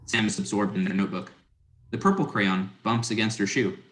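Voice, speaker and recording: A man's voice: on the low side, a little fast, a bit bright. A man narrating stage directions in a scripted dramatic reading. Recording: roomy, echoing sound.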